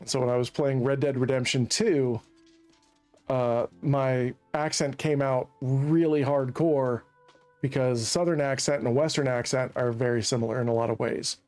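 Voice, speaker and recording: A man's voice: mid-range voice, steady tone, normal speed, darkish tone. Streamer, male, from Central Virginia; rambling joker, faint music underneath. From Central Virginia, USA. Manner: rambling, chatty, laughs at his own jokes. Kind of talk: casual gameplay commentary.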